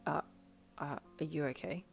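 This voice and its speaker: A woman voicing a gentle, soft-spoken nineteen-year-old boyfriend in an audio romance story. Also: low-pitched, steady tone, normal speed, creaky, husky, faint music behind, voice pushed down, exaggerated voice. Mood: worried, hesitant.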